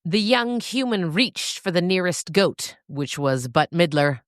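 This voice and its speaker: A woman's voice: on the low side, lively intonation, a little slow, dry recording, clean studio sound. A woman narrating a comic scripted story as its storyteller.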